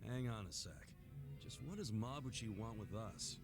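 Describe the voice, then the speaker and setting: An actor playing an earnest, hot-headed and loyal brawler in a video game dub, speaking bluntly in streetwise, informal style. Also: male voice, fairly low pitch, normal speed, music in the background, lightly acted. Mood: suspicious, blunt.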